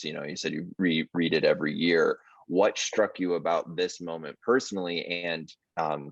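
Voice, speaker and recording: An American man moderating a casual panel discussion, earnest and halting, with many fillers. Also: extremely low pitch, no echo, highs cut off. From United States.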